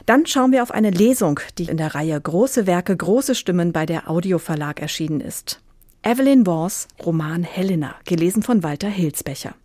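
A woman's voice: lowish voice, expressive, moderate pace, dark tone, dry recording, clean studio sound. A woman hosting a radio programme, presenting from a script. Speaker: radio host.

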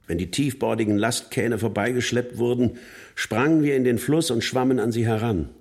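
A man's voice: mid-range voice, moderate pace, husky. An actor playing a pensive former gang member looking back on his life in a literary radio play monologue. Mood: nostalgic.

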